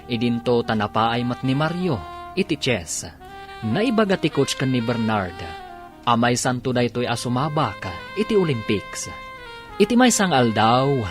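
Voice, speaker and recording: A man with an Ilocano Filipino accent delivering scripted dramatic narration as a radio drama announcer. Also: medium pitch. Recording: loud musical bed behind announcer.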